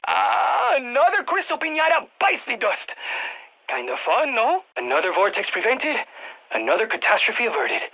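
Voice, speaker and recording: A quirky, excitable field scientist in a video game, calling out to a strike team. His husky voice swings widely in pitch with a Spanish accent, through a slightly dull radio filter. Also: normal speed, full character voice. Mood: gleeful. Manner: animated, exclamatory, peppers speech with Spanish interjections. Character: excitable, quirky male exogeologist supporting a military strike team. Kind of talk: video game voice acting, mission radio callouts.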